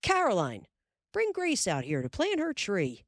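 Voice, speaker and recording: A woman reading the part of a calm, firm pioneer father in a storybook. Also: low-pitched, unhurried, gravelly, dry recording, studio quality, heavily acted. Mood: urgent. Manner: firm, reassuring.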